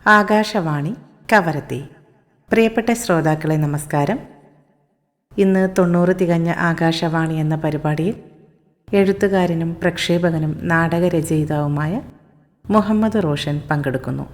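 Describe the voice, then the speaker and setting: Low-voiced woman presenter, reverberant. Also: measured pace, pauses often. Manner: formal, greeting listeners. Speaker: program presenter. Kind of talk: formal radio introduction.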